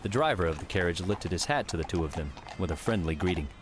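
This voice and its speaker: A man's voice: low-pitched, expressive, fast talker, noisy background. A man reading scripted fantasy story narration as an audiobook narrator.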